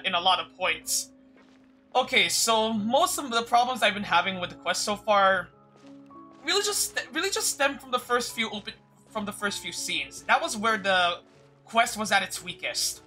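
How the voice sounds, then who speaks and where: Bright-voiced game streamer, hesitant. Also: medium pitch. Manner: hesitant, repeats phrases while thinking. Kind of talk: casual live game commentary and reading dialogue aloud.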